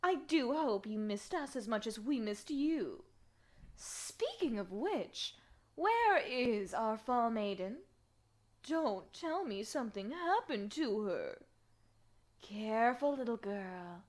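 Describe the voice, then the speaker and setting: A woman's voice: fairly high pitch, sing-song, slow pace. A woman voicing a deranged, sadistic female assassin devoted to her queen in an animation fandub, with a menacing, sing-song delivery. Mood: taunting. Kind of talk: dramatic animation fandub acting.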